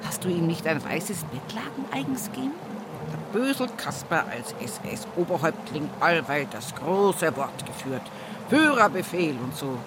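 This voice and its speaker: An actress playing an earthy, stubborn, devout widow from the village in an intimate radio monologue, with a husky, breathy voice and an Upper Palatinate Bavarian accent. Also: female voice, fairly low pitch, very animated, measured pace, background noise. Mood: wry reminiscing. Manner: earthy, folksy storytelling at a bedside. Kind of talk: intimate radio drama monologue in rural vernacular.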